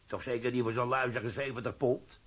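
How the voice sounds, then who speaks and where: An actor playing a sly, glib army quartermaster sergeant in a radio play, talking with cheeky, wheedling banter. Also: male voice, mid-range voice, fairly even intonation, a little fast, breathy edge, lightly acted.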